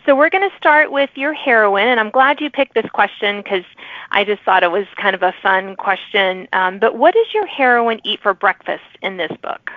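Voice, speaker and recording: A woman's voice: medium pitch, animated, moderate pace, no echo, narrow, tinny audio. A woman hosting an interview podcast, asking friendly questions and giving encouraging responses. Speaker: podcast host.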